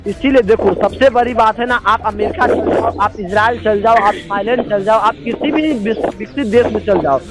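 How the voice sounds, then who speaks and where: A man's voice: sits high, moderate pace, few pauses. A man speaking as an activist founder on a phone call, earnest, exhorting and repeating himself. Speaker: movement founder. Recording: live call recording with music behind.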